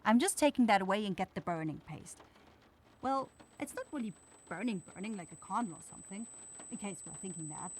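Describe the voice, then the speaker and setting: A woman's voice: mid-range voice, lively intonation, fairly quick, a little hiss or hum, lightly acted. A woman voicing a caring, easily flustered young forest witch in an ASMR fantasy roleplay, speaking in hesitant, blurting phrases. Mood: focused, hesitant.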